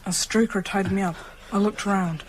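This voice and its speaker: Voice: mid-range voice, fairly even intonation, normal speed, close to an everyday voice. A young performer playing a brave, urgent boy in a horror radio drama. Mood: tense narration. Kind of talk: radio drama acting and narration. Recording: clear background noise, clicks.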